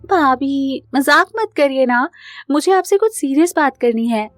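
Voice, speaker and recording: A woman's voice: high voice, animated, unhurried, dry recording, faint music behind, voice pushed up. A woman voicing an earnest, anxious young woman in love, emotional and pleading, in a cartoon family drama. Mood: worried, pleading.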